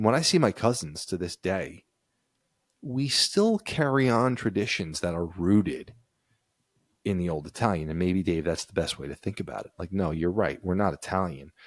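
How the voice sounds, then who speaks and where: Low-voiced man co-hosting a chat. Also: dry recording, clean studio sound. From United States. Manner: reads passages aloud, then riffs conversationally. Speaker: podcast co-host. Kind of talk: casual chat.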